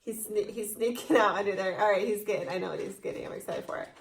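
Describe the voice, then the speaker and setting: A woman's voice: mid-range voice, fast talker, slight background noise. A young woman with an American accent, co-hosting in casual, bubbly chat to camera. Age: young adult. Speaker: video channel co-host. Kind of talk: casual chatty banter to camera. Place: small indoor room before a banner.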